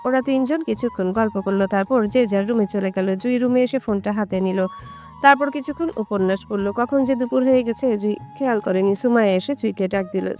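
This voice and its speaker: A woman's voice: medium pitch, a little fast. A woman reading scripted narration for a romantic story. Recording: soft music behind the voice.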